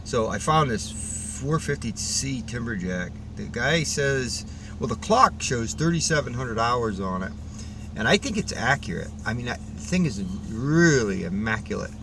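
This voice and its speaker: A man's voice: mid-range voice, big pitch swings, unhurried, creaky. Rambling man filming himself in his truck. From Rhode Island. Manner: rambling and folksy, with frequent fillers and restarts. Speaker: logger and vlogger. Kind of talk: casual chat to camera. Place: inside a parked pickup truck. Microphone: dashboard camera in front of him. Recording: distant car mic with road and outdoor noise.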